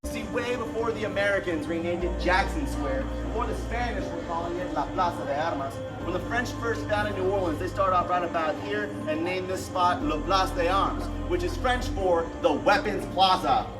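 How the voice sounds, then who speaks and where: A man's voice: sits high, normal speed, hardly any pauses. A man working as a ghost tour guide, telling stories theatrically to a group. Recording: echoey, distant, under loud music.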